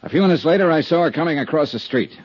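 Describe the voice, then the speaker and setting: A man's voice: on the high side, animated, racing, breathy edge, no room sound. An American actor playing a tough insurance investigator who narrates his own case in a 1950s radio detective drama. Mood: businesslike, guarded. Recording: muffled old radio broadcast, music behind.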